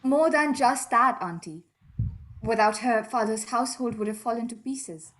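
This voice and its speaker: A woman's voice: fairly high pitch, normal speed, fairly bright tone, close to an everyday voice, low-quality recording. A woman playing a proud, composed young lady in a radio drama, speaking with polite formality.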